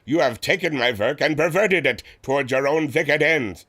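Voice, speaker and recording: A man's voice: on the high side, normal speed, fairly bright tone. A man playing an earnest, remorseful inventor, formal and dramatic, in a pulp adventure drama. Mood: anguished resolve. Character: earnest, remorseful German inventor seeking redemption.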